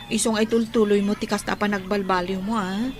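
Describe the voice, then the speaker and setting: A woman's voice: medium pitch, fairly even intonation, fairly quick, soft background music, natural voice. A woman playing a tender young unmarried woman being courted, in Ilocano radio drama style with an Ilocano Filipino accent. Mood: gently questioning.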